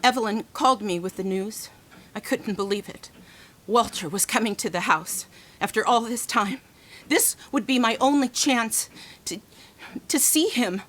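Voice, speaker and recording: An actress playing an emotional, defensive adult daughter with halting delivery in a radio drama. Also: female voice, on the high side, fairly bright tone. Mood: resigned, bitter.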